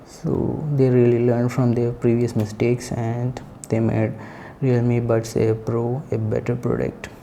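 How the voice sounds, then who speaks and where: A man giving a casual spoken product review in halting short phrases with pauses. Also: mid-range voice, monotone, gravelly, warm tone, a little hiss or hum. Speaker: tech reviewer.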